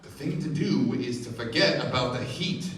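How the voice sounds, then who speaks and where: A man's voice: medium pitch, lively intonation, moderate pace, fairly bright tone, lightly acted. A man playing a blustering, suspicious rich husband in a radio play, brusque and scornful. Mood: domineering. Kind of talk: stage radio play acting. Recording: echoey stage from far off, clear noise.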